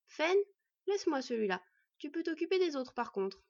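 A woman's voice: high voice, very animated, moderate pace, a little mellow, dry recording, voice pushed up. A woman voicing a cheerful, cocky and mocking teenage half-elf boy in a storybook, eager and taunting. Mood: coolly taunting. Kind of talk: storybook character voice. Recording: dull, slightly distant microphone.

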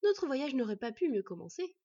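Woman voicing a high, cocky teenage boy. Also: animated, fairly quick, a little mellow, no echo. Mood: gleeful, mocking. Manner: eager, taunting. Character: cheerful, cocky, mocking teenage half-elf boy. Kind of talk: storybook character voice. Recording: dull, slightly distant microphone.

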